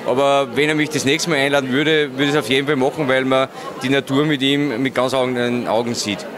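Fast-talking middle-aged Austrian man. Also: mid-range voice, steady tone. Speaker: interviewee, friend of the author. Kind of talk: casual interview. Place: large busy indoor foyer. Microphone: handheld interview microphone. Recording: busy crowd chatter behind.

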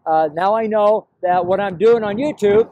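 A man's voice: sits high, moderate pace, mellow, highs cut off. A middle-aged to older American man preaching informally to camera, rambling and emphatic, repeating his phrases. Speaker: street preacher. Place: outdoors, park path beside a road.

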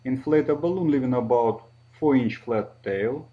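A man with a Russian accent, a native Russian speaker, narrating a step-by-step tutorial slowly and carefully, with frequent hesitations. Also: mid-range voice, phone-quality sound. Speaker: balloon twisting instructor.

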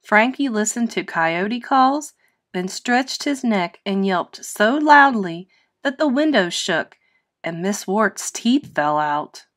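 Slow-paced woman reading a storybook. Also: medium pitch. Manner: expressive, deliberate storytelling. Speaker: storybook reader. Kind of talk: children's read-aloud narration.

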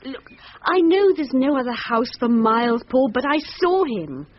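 A nervous, insistent wife in a radio thriller. Her voice is high and very animated, pleading and anxious. The sound is a little dull, with faint noise. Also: moderate pace. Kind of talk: thriller radio drama acting.

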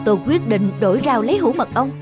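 A simple-hearted rabbit husband from a cartoon fairy tale, played by a man. He tells things earnestly and tenderly, full of gentle devotion. Music is clearly heard behind him. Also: very high-pitched, lively intonation, fast. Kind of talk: animated fairy tale acting.

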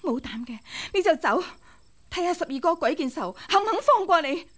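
A woman playing a proud swordswoman and wife in a radio drama, expressive and dramatic. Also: very high voice, a little fast. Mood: stern, indignant. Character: proud swordswoman, wife, tender then sternly indignant. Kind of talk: Cantonese radio drama acting.

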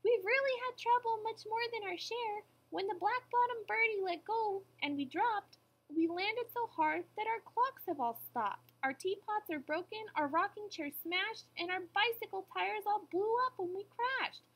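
A woman's voice: very high voice, moderate pace, voice pushed up, low-quality recording. A woman voicing a tiny, anxious male mayor in a children's story, polite and plaintive. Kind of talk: children's storybook character read aloud.

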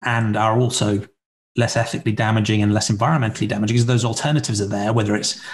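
A man's voice: fairly low pitch, fairly even intonation, quick pace, a little hiss or hum. A man in his forties hosting a podcast interview over a video call from a room at home, earnest and encouraging. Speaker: podcast host. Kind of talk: interview conversation. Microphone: webcam on video call.

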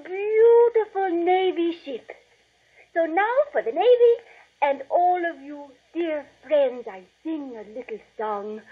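A high-voiced, gushing chanteuse coos at her audience with syrupy, theatrical sweetness. She draws out her words slowly. The sound is a muffled old 1940s radio drama. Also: full character voice.

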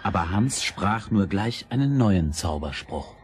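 Low-voiced male fairy-tale narrator. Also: animated, a little slow, crowd noise. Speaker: storyteller.

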